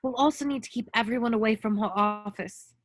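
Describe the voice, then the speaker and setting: A woman's voice: on the high side, a little fast, a little hiss or hum, natural voice. A woman playing an earnest, bossy, clever teenage schoolgirl, speaking insistently in an amateur script read. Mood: brisk planning. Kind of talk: amateur online screenplay table read.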